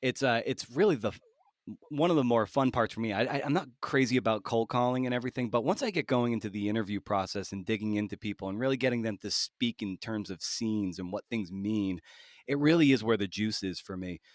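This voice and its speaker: A man's voice: on the low side, brisk, no echo. Man interviewing casually, dry close sound. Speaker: podcast host interviewer.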